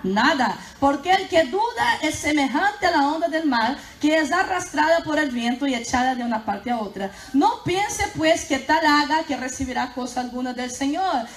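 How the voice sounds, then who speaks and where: High-voiced woman preaching in an echoey hall. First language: Portuguese. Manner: emphatic, rising and falling with bursts of fervor. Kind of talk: sermon. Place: church hall.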